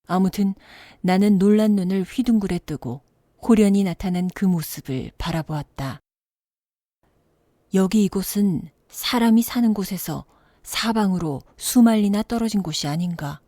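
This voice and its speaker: A woman narrates a children's bedtime story with a soft, mellow timbre. Her voice sits slightly low and she reads in a measured, expressive manner. The recording is dry and close. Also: no room sound.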